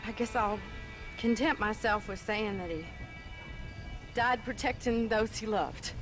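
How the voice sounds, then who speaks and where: A woman's voice: medium pitch, a little slow, lightly acted. A woman playing a plain-spoken ranch woman. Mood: wry. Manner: plain-spoken, folksy, dry wit. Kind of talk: video game cutscene voice acting. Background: music score.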